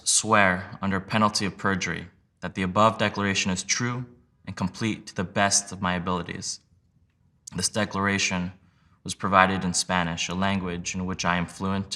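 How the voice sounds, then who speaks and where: A man reading a sworn legal declaration aloud in a measured, plain, steady way. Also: sits low. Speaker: reader of sworn testimony.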